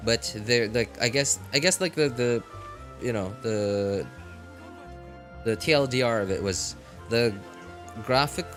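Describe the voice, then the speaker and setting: A man's voice: mid-range voice, a bit bright. Casual male streamer, music playing behind. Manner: rambling, repeats phrases, slangy. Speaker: game live streamer. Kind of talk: casual livestream chat. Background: background music.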